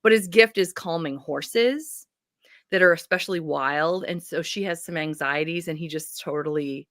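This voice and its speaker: A woman co-hosting a casual book readalong, speaking in a gushing, effusive way and quick to laugh. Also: deep voice, a bit bright, no room sound, studio quality.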